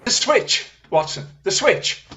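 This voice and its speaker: A man acting a brilliant, brisk detective in a radio play, sardonic and intense, on slightly dull audio. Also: sits high, big pitch swings, sharp tone, full character voice. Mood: intent.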